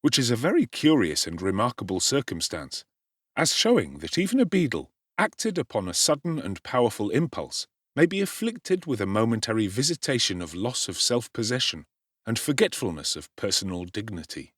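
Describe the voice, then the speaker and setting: Man narrating with wildly swinging pitch. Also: moderate pace, no room sound. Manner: theatrical, highly expressive reading. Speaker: audiobook narrator. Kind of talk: dramatic audiobook narration. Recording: dry close studio sound.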